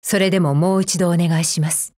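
A woman's voice: on the low side, steady tone, fairly quick, dark tone, no room sound, clean studio sound, lightly acted. A woman playing a cold, efficiency-minded female combat android in a mobile game, speaking formally in a clipped, stern, unemotional way. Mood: cool, composed. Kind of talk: scripted mobile game character voice lines.

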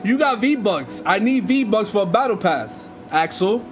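A man's voice: high-pitched, sing-song, unhurried, soft background music. A young man on a headset making prank phone calls from home, pushy and demanding, with lots of street slang. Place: home streaming room, indoors.

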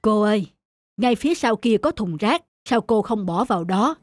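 An actor playing a modest older resort owner in a cartoon, speaking in a measured, firm, unassuming way. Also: male voice, high voice, animated. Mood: firm, reproving. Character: calm, modest older male resort owner picking up litter. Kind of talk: cartoon voice acting. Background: faint music.

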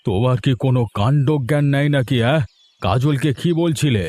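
A man's voice: mid-range voice, a little fast, darkish tone, no echo, natural voice. A man voicing a greedy, bullying village uncle with a confrontational, scolding manner in a melodramatic cartoon. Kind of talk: melodramatic Bengali moral-story cartoon acting.

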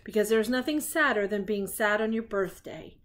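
A woman's voice: mid-range voice, normal speed, fairly bright tone. A middle-aged woman reading a children's story aloud expressively, with chatty asides to young listeners. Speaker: grandmother reading stories on her own show. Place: living room at home.

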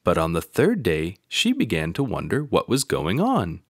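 A man narrating a children's picture book aloud in an expressive storytelling manner. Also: low-pitched, moderate pace, husky, dry recording, clean studio sound.

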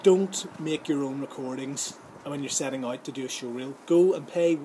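A man's voice: mid-range voice, moderate pace, dark tone, poor audio. Middle-aged man explaining, slight outdoor noise. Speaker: host giving voice-over advice. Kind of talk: informal tutorial to camera. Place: outdoors in a sunny garden or patio. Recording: outdoor ambient background noise.

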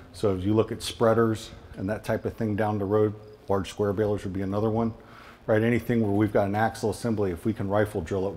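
A man's voice: on the low side, darkish tone, slight background noise. A man giving an informal technical product presentation in a conversational, explanatory way, often checking in with his listeners.

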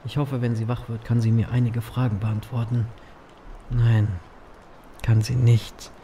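An actor playing a brooding, wry male grim reaper who narrates his own story in an audio drama, self-mocking and breathy in places. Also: medium pitch, flat delivery, measured pace, dark tone, a little hiss or hum, natural voice. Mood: wry, arguing with himself.

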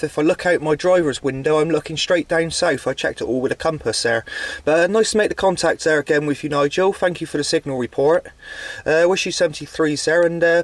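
A man's voice: on the high side, dry recording. A man talking casually as a CB radio operator, recorded in and around a parked vehicle. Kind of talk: casual radio chat and log commentary. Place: inside a parked vehicle and outdoors nearby. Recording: clear background noise on camera audio.

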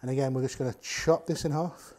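A man's voice: mid-range voice, quick pace. A man, chatting casually to camera as he cooks in a home kitchen. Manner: chatty, relaxed, talks while working with his hands. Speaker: cooking show host. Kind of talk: casual cooking demonstration to camera.

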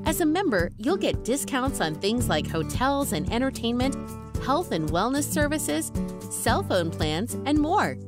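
Woman, commercial voice-over, lively, higher pitch, music behind. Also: unhurried. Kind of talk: scripted commercial read.

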